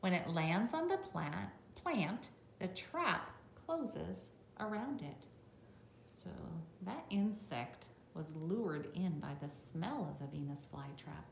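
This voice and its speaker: A woman's voice: fairly low pitch, animated, slow, gravelly. A middle-aged woman teacher reading a picture book aloud to young children, adding instructive asides and checking in with little questions. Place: classroom, indoors. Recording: roomy echo, faint background noise.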